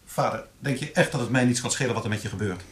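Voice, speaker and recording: An actor playing a bitter, slighted adult son in a radio play, with an accusing, sardonic manner. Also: male voice, medium pitch, fast, a little hiss or hum, close to an everyday voice.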